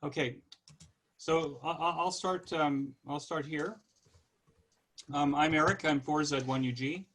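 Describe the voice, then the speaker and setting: A man in his sixties from California with an American accent, giving an informal, halting, conversational online presentation. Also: fairly high pitch, fairly bright tone. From California, United States. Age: 60s. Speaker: guest presenter.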